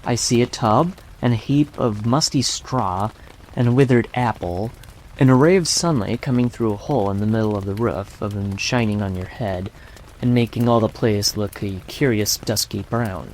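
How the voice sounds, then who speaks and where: Woman putting on a gruff, low-voiced sulky boy. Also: moderate pace, a little mellow, slight background noise, low-quality recording. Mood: matter-of-fact, gruff. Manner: blunt, indignant. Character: gruff, sulky, offended young working-class boy.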